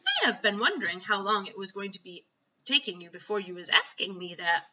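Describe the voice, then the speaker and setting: A woman voicing a gentle, long-suffering friendly giant with muddled, folksy grammar for a children's storybook. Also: medium pitch, sing-song, a little fast, lo-fi sound, crowd noise, natural voice. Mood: earnest, confiding. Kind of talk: children's storybook read-aloud.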